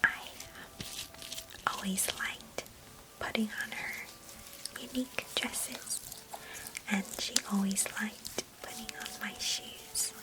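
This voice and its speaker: A woman playing a chatty, affectionate, nostalgic cousin in an ASMR roleplay, whispering gently in an unhurried, breathy way. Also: medium pitch, fairly even intonation, lightly acted, low-quality recording. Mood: wistful, chatty. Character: chatty, affectionate, nostalgic female cousin, former ballet student.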